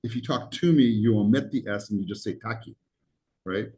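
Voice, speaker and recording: A man's voice: on the low side, a little fast, darkish tone. A man who grew up speaking Greek teaches a college class in a classroom, talking casually with jokes, asides and frequent ums. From United States. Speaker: college instructor.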